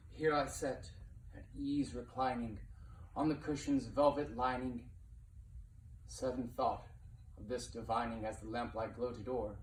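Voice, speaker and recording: A man giving a dramatic poetry reading as narrator, theatrical in manner, swelling from hushed to impassioned delivery. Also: medium pitch. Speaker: narrator reading a poem aloud. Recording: roomy, distant mic with faint noise.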